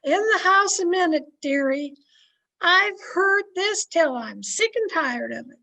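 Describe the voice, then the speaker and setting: A woman as a patient wife, high lilting voice, polite, correcting, radio comedy. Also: expressive, a little slow, creaky, bright, forceful tone, exaggerated voice, rough recording. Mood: weary. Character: patient but weary wife, politely correcting her husband. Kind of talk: old-time radio comedy acting. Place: at home on a video call.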